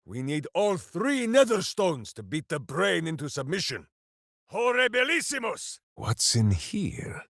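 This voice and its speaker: An actor voicing a big, simple-minded, hearty berserker hero in a fantasy video game, declaiming with bombastic earnestness. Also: male voice, high-pitched, huge pitch swings, unhurried, creaky, fairly bright tone, dry recording, clean studio sound, full character voice. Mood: battle fervor. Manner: bombastic, earnest, grandly declaiming, speaks of himself by name. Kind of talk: theatrical fantasy video game character acting.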